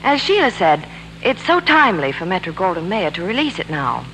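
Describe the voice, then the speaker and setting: A woman's voice: on the low side, big pitch swings, fairly quick, fairly bright tone. A woman reporter in scripted 1940s radio banter and advertising, polished and enthusiastic. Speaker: Hollywood reporter and product pitchwoman. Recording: noisy, humming 1940s radio broadcast.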